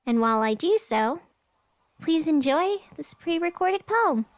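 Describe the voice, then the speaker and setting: A woman's voice: high-pitched, big pitch swings, a little slow, no echo. A woman playing a chatty, wry young radio host in a fiction podcast, talking casually in asides. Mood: wry, upbeat. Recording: music behind the voice. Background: music.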